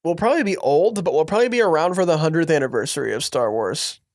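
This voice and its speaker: A man's voice: fairly high pitch, lively intonation, fast, no echo, clean studio sound. A young man co-hosting a podcast, chatting enthusiastically about a movie as it plays. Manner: enthusiastic, easygoing, says "like" a lot. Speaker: podcast co-host. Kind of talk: casual movie commentary chat.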